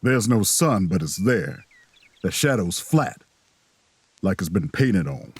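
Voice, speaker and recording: A calm, folksy, reflective traveler in an eerie audio drama. His husky voice swings expressively as he measures out each observation and soothes his companions. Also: low voice, slow, full character voice. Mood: uneasy, narrating. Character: calm, folksy, reflective man narrating an eerie road trip. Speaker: actor and narrating character in an audio drama. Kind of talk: audio drama acting with first-person narration.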